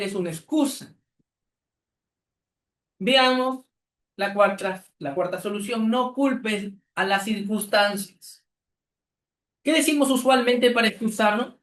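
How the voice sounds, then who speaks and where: A man from Peru, preaching an earnest sermon as pastor with deliberate pauses. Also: sits high, a bit bright. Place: church. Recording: echoey church hall.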